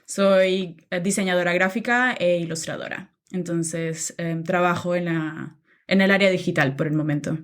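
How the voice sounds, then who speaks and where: A woman's voice: lowish voice, normal speed. A young woman from Nicaragua, a podcast guest chatting casually about her life, with many filler sounds. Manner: relaxed, many "am" and "em" fillers, laughs easily. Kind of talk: casual interview chat.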